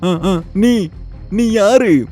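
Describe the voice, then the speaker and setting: A man's voice: high voice, drawn-out words, dark tone, faint music behind, pitched above the performer's own voice. A man voicing a devout village farmer in a cartoon horror story, stammering when afraid. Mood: terrified. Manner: stammering in fear.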